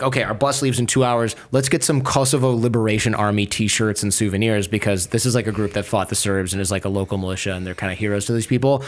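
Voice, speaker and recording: A young adult man telling a travel story in a casual chat, animated and acting out the people he quotes. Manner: animated, acts out quoted voices with raised pitch.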